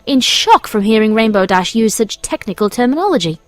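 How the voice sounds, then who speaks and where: A woman's voice: fairly high pitch, very animated, a little fast, dry recording. A woman reading scripted storybook narration as the narrator.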